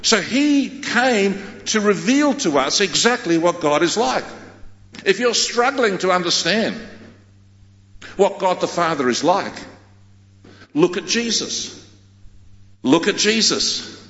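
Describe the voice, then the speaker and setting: Animated preacher in an echoey hall. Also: male voice, high voice, slow pace, pauses often. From Australia. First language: Greek. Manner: emphatic preaching with repeated phrases. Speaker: pastor. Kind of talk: sermon. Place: large church hall. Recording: echoey hall, dull sound.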